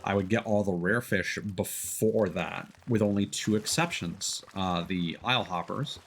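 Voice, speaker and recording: A man casually explaining game mechanics on a gaming stream in a halting, rambling way. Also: low voice, a bit bright, slight background noise.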